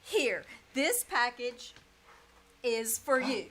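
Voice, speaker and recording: A woman playing a wry, quick-witted schoolteacher who delivers dry quips in old-time radio comedy style on a live stage. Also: a little high, sing-song, fairly bright tone, heavily acted. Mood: wry, hopeful. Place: live stage in a small-town theater. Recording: faint noise, live small theater stage.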